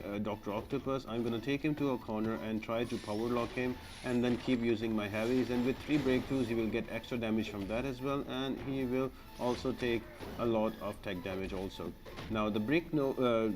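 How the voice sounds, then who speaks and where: A man with a medium-pitched voice guides listeners through a video game. His delivery is instructional walkthrough commentary. There is clear noise behind his voice.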